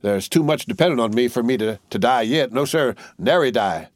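A folksy wounded country soldier rambles on in a halting backwoods drawl, as a man reading an audiobook puts him on. The voice sits up higher than a plain speaking voice. It is recorded dry and close, with no room sound. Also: expressive, dry recording, natural voice. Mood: rambling folksy. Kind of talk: audiobook character voice.

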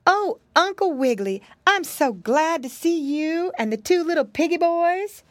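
A woman's voice: extremely high pitch, expressive, measured pace, dry recording, studio quality, voice pushed up. A woman reading a storybook aloud, voicing a cheerful pie-baking lady who talks in a sweet, fussy way.

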